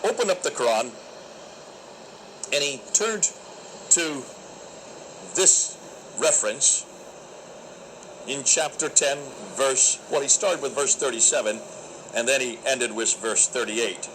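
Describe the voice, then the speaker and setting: A man recounting a debate in an animated, emphatic, preacherly way, with a naturally loud voice. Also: mid-range voice, takes it slowly, long pauses, background noise. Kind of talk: storytelling talk.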